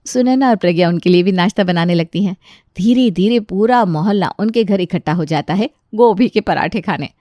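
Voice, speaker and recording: A woman's voice: lowish voice, fairly quick, warm tone, no room sound, studio quality. A woman reading scripted narration for a children's story.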